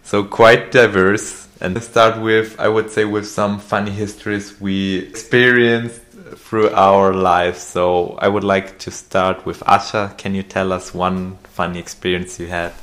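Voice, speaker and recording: A man's voice: low-pitched, level tone, a little slow, few pauses, a bit bright. A young man hosting a casual podcast, guiding the talk with questions. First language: German. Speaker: podcast host.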